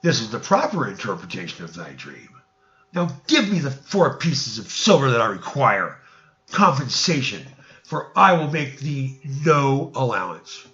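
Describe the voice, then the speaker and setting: A man's voice: medium pitch, huge pitch swings, a little slow, fairly bright tone, dull audio. A man voicing a grasping, brazen innkeeper and dream interpreter in a storybook, declaiming insistently in archaic speech. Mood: firmly demanding.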